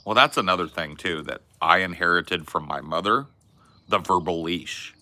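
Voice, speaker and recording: Deep-voiced guy, loud and resonant, super bright tone, just chatting casually. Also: moderate pace. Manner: rambling, laid-back storytelling. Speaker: podcast co-host. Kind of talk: casual chat.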